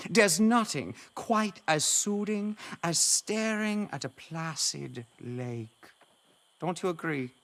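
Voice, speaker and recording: An actor playing an affable, envious landscape painter in a video game, musing theatrically. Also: male voice, a little high, animated, takes it slowly, fairly bright tone, heavily acted. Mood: musing, admiring. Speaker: quest-giving game character. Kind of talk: video game character dialogue.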